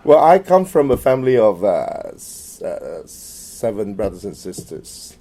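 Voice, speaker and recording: A middle-aged man from Malaysia in an oral history interview, hesitant with many fillers and restarts, trailing off quieter at phrase ends. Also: on the low side, very animated, measured pace.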